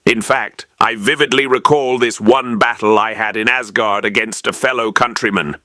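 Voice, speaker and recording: A man's voice: lowish voice, fairly even intonation, normal speed, pushed and bright, no room sound, clean studio sound. A man voicing an earnest, proud mutant strongman with a Russian accent, in scripted in-character narration for a game. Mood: earnest pride.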